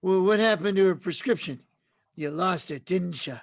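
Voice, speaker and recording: A man playing an angry, grief-stricken drunken druggist with a gravelly voice in an old-time radio drama. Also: high voice, moderate pace, dry recording, clean studio sound. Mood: angry, bullying. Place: remote video call recording.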